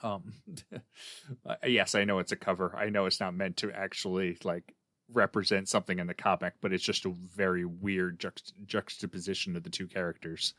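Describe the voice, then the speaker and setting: A man's voice: deep voice, little pitch movement, normal speed, fairly bright tone, dry recording, clean studio sound. A man speaking casually and giving his opinions as a panelist in a discussion about comics. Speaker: podcast panelist reviewing comics.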